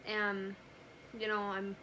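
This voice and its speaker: A woman's voice: medium pitch, completely flat delivery, slow, bright, forceful tone, slight background noise, low-quality recording. A young woman telling a personal story casually to camera, with lots of "like" and "you know" in her talk. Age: young adult. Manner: conversational, frequent "like" and "you know". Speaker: family vlogger.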